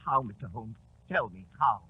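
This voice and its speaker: A man playing a guilty, flustered colonel who pleads haltingly in a 1940s radio drama. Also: medium pitch, expressive, slightly rough. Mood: defeated, pleading. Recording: tinny, muffled 1940s radio recording.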